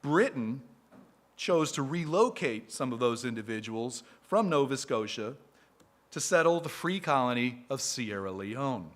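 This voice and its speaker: A man reading a prepared talk to a live audience as a speaker for a historic site, measured and steady. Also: medium pitch.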